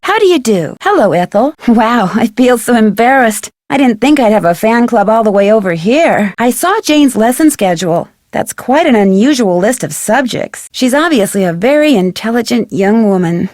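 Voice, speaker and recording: A woman playing a curious, polite young female detective in scripted video game dialogue, clearly enunciated and inquisitive. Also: a little high, expressive, moderate pace, few pauses, darkish tone, dry recording, clean studio sound, close to an everyday voice. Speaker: voice actor playing a game's lead character.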